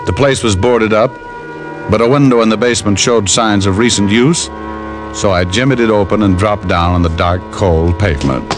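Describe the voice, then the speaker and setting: An American actor playing a tough, wisecracking private detective in an old radio drama, sardonic and unhurried. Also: male voice, on the low side, close to an everyday voice. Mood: tense narration. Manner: sardonic, hard-boiled, unhurried. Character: tough wisecracking male private detective, menacing yet casual. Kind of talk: 1940s-50s radio detective drama acting. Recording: old radio broadcast recording, music behind.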